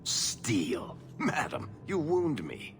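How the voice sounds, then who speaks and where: A man's voice: on the high side, huge pitch swings, extremely slow, vocal fry, faint music behind, exaggerated voice. A man playing a roguish, wheedling schemer in a fantasy game, with a sly, mock-wounded manner. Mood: teasing, persuasive. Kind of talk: dramatic game cutscene acting.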